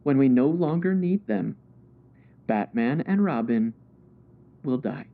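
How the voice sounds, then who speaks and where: A man's voice: medium pitch, animated, slow, narrow, tinny audio. A man playing a smug, scheming crime boss in the style of an old radio villain.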